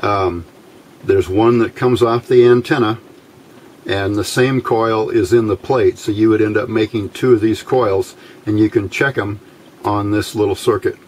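A middle-aged man giving an informal hands-on tutorial in halting short phrases, pausing often as he explains. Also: lowish voice, low-quality recording. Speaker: electronics hobbyist demonstrating a homemade circuit. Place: indoors at a workbench. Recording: steady clear background noise.

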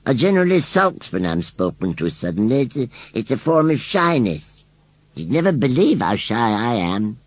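A man voicing a shy, wheezy male scarecrow who speaks slowly and mournfully. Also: medium pitch, big pitch swings, no echo. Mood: forlorn.

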